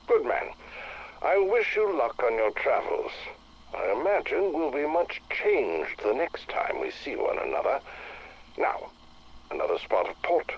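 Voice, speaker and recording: An actor playing an imperious, scheming wealthy patron with a husky voice, formal and commanding, in a game audio log. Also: male voice, fairly high pitch, big pitch swings, measured pace, heavily acted, low-quality recording. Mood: courteous, commanding.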